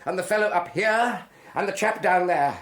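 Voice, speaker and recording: An actor playing a pompous British army major with an English accent, speaking formally and hesitantly in a radio drama. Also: male voice, high voice, moderate pace, a crowd in the background, lightly acted. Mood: pompous, aggrieved. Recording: echoey hall.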